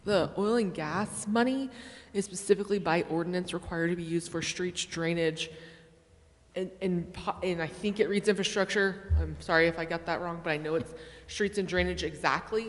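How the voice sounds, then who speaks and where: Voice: mid-range voice, normal speed. A city official giving an unscripted, apologetic explanation at a formal public meeting. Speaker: city official answering council questions. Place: indoor city council chamber. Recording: echoey council chamber.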